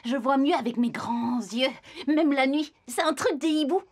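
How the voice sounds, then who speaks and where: A woman's voice: high-pitched, moderate pace, close to an everyday voice. A woman voicing a proud, teasing male eagle owl in a children's cartoon, explaining animal facts simply and kindly.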